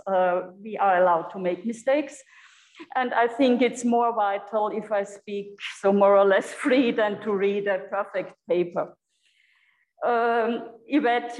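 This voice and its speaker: A woman's voice: fairly high pitch, darkish tone. German-accented woman lecturing in an echoey hall. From Europe. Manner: halting, searching for words, frequent fillers. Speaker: guest lecturer. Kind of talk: unscripted lecture.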